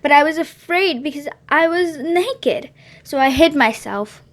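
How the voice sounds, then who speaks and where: Lady reading the first man, ashamed, stammers, high voice. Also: lively intonation, unhurried.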